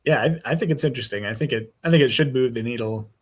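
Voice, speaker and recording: A man calling into a live stream, talking hesitantly with many pauses and fillers. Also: medium pitch, breathy edge. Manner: hesitant, lots of fillers, tentative. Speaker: caller. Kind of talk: casual discussion.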